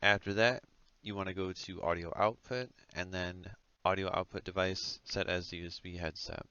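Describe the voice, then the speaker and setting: Male tutorial presenter, informal and halting, bright crisp delivery on a close, lo-fi headset. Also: low-pitched, fairly even intonation, no echo. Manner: informal, hesitant step-by-step explaining. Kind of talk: casual software tutorial narration. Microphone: close headset microphone. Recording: dull, lo-fi headset audio.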